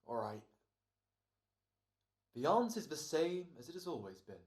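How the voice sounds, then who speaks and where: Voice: mid-range voice, very animated, a little slow, darkish tone, echoey room. An actor playing an exasperated, hammy male radio actor in an old-time comedy-horror radio play. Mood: stagey.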